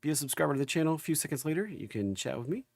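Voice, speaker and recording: Male livestream market host, gravelly and under the weather, informal folksy delivery, dry recording. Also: on the high side, animated, rapid-fire, dry recording. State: recovering from an illness. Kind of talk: casual livestream chat and market commentary.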